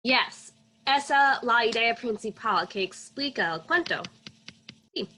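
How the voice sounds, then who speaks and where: A woman's voice: a little high, expressive, unhurried, sharp tone, poor audio. A young woman teaching children in conversation with a co-host on a video call. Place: indoor room on a video call.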